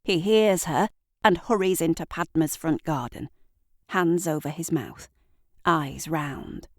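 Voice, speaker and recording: A woman reading scripted literary narration for an audio drama in a deliberate, dramatic storytelling manner. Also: low-pitched, no echo, studio quality.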